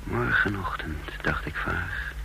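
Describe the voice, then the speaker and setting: Brooding doomed-prisoner narrator for radio drama: halting, gravelly, unhurried, dazed, on an old humming recording. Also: male voice, deep voice, background noise, heavily acted. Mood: brooding, resigned. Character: dazed, brooding condemned prisoner telling his own story. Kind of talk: dramatic first-person radio play narration.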